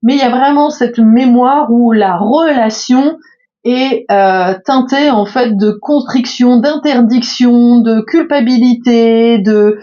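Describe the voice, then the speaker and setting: Woman in her 50s, higher pitch, warm and hesitant, lo-fi bedroom recording. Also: takes it slowly. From France. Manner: hesitant, many fillers and restarts. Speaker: spiritual coach. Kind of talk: casual reflective monologue.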